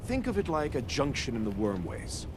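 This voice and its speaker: A man voicing a self-assured, analytical engineer in a video game, explaining in measured phrases as if thinking aloud. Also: medium pitch, wildly swooping pitch, noisy background. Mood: matter-of-fact. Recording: loud game music behind.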